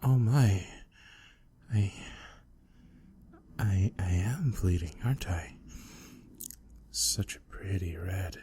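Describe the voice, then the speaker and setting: A man playing an obsessive, lovesick male student in an ASMR roleplay drama, hesitant and stammering, shy yet menacing, with a soft breathy voice. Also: low-pitched, takes it slowly, pauses often, full character voice. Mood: flustered. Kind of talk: ASMR roleplay audio drama, intimate acting.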